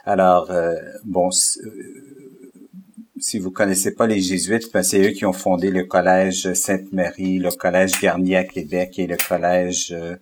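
A man's voice: low-pitched, level tone, unhurried. A man in his fifties from Alberta with a Canadian French accent, a Jesuit guest telling his story in an interview. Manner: reflective, recounting with rising animation.

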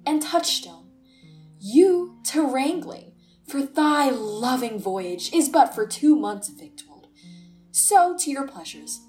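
Woman playing a slow, high-voiced melancholy courtier. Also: expressive, a little mellow, soft background music. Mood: solemn, wry. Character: melancholy, contemplative, sardonic courtier in Shakespearean comedy. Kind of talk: Shakespearean radio play acting.

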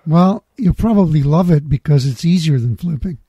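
Middle-aged male host, husky mellow voice, measured and talkative. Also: a little high, animated, no echo. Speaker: podcast host. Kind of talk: scripted intro then casual interview.

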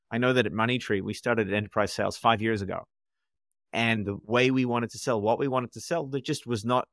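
A man's voice: lowish voice, a bit bright, no room sound, clean studio sound. A man co-hosting a casual panel discussion, speaking candidly and reflectively. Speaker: podcast co-host.

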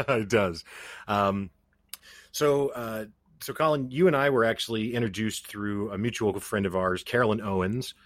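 Low-pitched man hosting a podcast, dry close sound. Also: measured pace, a bit bright, no room sound. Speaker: podcast host. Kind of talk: conversational podcast interview with scripted-sounding introduction.

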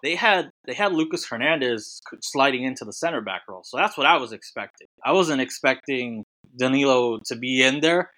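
Bright-voiced man, halting and dry. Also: mid-range voice, lively intonation, no room sound, low-quality recording. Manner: halting, many restarts and fillers. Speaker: podcast guest, football writer. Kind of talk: casual sports podcast chat.

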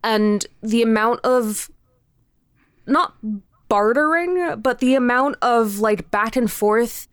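A woman's voice: fairly high pitch, slow, clear, resonant tone, no room sound, clean studio sound. A woman chatting casually as an interview guest, telling stories in a rambling, emphatic, informal way. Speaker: interview guest, creator of a podcast show. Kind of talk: casual chat, storytelling.

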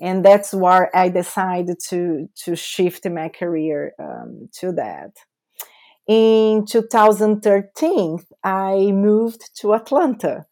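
A woman from Brazil with a Brazilian Portuguese accent, a podcast guest talking haltingly with many fillers. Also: mid-range voice, lively intonation, darkish tone. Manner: slow, halting, with frequent fillers. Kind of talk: podcast interview.

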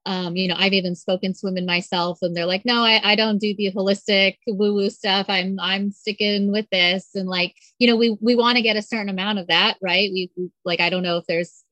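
A chatty woman hosts a podcast interview, her questions wandering and loose with easy laughter. Her voice has an ordinary pitch for a woman and sounds dry, with no room echo. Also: steady tone, brisk, few pauses, pushed and bright. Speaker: podcast host. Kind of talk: casual interview chat.

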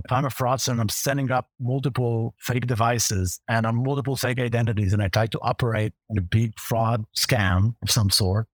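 A man's voice: mid-range voice, no room sound. A man with a strong Israeli accent, a native Hebrew speaker, explaining fraud detection as a guest in a podcast interview, walking through vivid everyday examples.

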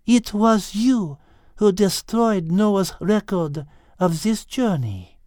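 Defiant animal heroine played by a woman, deliberate. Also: medium pitch, animated, warm tone, dry recording, studio quality. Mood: accusing, defiant. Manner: firm, deliberate, accusing. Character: defiant, clever female animal heroine with French flair. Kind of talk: dramatized children's audiobook acting.

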